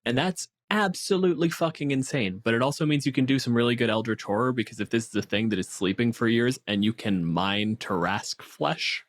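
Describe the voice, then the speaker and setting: A man co-hosting a casual tabletop game discussion, explaining things in an animated way and swearing freely. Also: medium pitch, a little fast, hardly any pauses. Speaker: podcast co-host.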